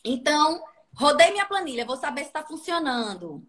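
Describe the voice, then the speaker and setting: A woman's voice: high-pitched, moderate pace. A woman with a northeastern Brazilian accent from Sergipe, a labor inspector giving practical tips in a livestream talk and often checking in with her listeners. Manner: animated, instructive, checks in with "tá?".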